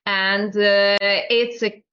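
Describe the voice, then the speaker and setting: A woman with an Italian accent leads a webinar, speaking at a middle pitch and filling her pauses with "uh". Her voice comes through slightly dull. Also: flat delivery. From Italy. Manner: uses "uh" fillers, warm and inviting. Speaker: SEO agency director, webinar co-host. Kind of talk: webinar presentation.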